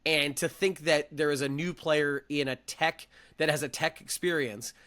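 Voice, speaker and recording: A man co-hosting a casual sports podcast, enthusiastic and quick to laugh. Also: on the high side, fairly even intonation, bright, forceful tone. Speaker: podcast co-host.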